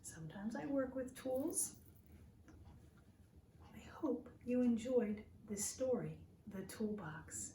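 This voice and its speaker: A woman reading a children's story aloud like a teacher, with deliberate, simple phrasing for small children. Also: a little high, animated, dark tone. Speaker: teacher reading a picture book to young children. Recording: roomy echo, distant mic, faint noise.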